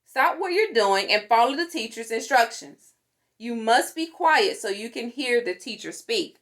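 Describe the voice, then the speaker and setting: A woman reading a children's safety book aloud in an animated, instructive manner. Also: a little high, unhurried. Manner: animated, instructive, acts out sound words. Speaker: storytime reader.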